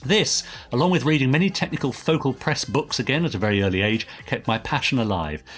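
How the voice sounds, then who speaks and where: A man's voice: medium pitch, expressive, fast, fairly bright tone, soft background music. A British man co-hosting a podcast, reading out listener messages in a chatty, enthusiastic way. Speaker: podcast co-host.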